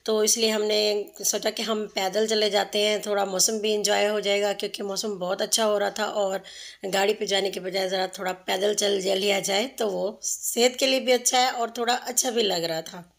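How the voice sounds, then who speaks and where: A woman's voice: mid-range voice, fairly even intonation, moderate pace, creaky. A woman in her thirties narrating a family vlog, chatty and explaining at length with many fillers, recorded on a phone at an outdoor restaurant at night. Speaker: family vlogger.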